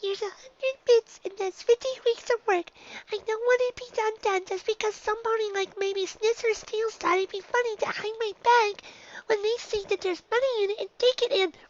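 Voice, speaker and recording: A woman's voice: very high-pitched, steady tone, normal speed, dull audio, a little hiss or hum, voice pushed up. A woman voicing an anxious little girl unicorn pony in a story, flustered and rambling. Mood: worried.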